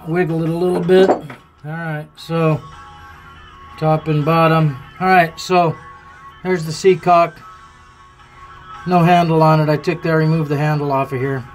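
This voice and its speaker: A man with a fairly high voice talks in a relaxed, folksy way while he works on a boat repair. He thinks aloud between steps, and faint music runs under him. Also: a little slow, long pauses. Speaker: boat owner showing a repair. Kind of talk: casual hands-on how-to narration. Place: aboard a boat, in the bilge.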